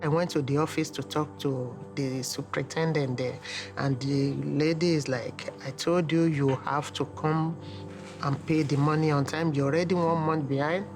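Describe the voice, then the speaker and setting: A woman's voice: deep voice, fairly even intonation, normal speed, over music. A middle-aged woman with a Nigerian accent telling her personal story in an interview. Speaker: interviewee, mother of an athlete.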